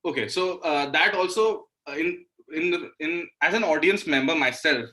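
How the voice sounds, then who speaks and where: Young man, Indian accent, bright forward voice, earnest, conversational interview guest. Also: on the high side, normal speed. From Delhi, India. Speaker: interview guest, indie singer-songwriter. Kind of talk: casual conversational interview answers.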